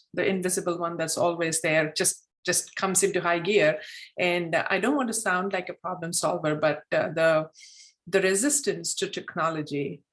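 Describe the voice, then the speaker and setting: A woman's voice: fairly low pitch, a bit bright. A woman whose first language is Telugu, earnestly explaining her points step by step as a club mentor on a video call. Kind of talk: answering in a virtual meeting.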